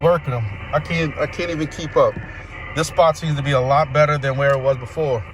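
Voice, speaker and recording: A man's voice: fairly high pitch, fairly even intonation, fairly quick, poor audio. A man chatting casually in a rambling, easygoing way, often restarting his sentences, while recording a ham radio vlog outdoors on the coast. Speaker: ham radio operator vlogger. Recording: wind and vehicle noise outdoors.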